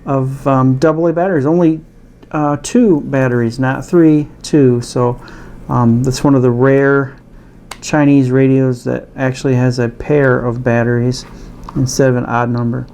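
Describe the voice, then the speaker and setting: A man's voice: medium pitch, fairly even intonation, a little slow, dark tone. A man casually demonstrating a gadget in an unscripted, easygoing, slightly hesitant way. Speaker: radio reviewer presenting a gadget. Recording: noisy home recording with radio static. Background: shortwave radio noise and static.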